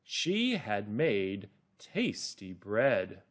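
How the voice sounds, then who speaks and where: A middle-aged man teaching children through a read-aloud story, deliberate, explanatory and expressive. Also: on the low side. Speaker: reading teacher.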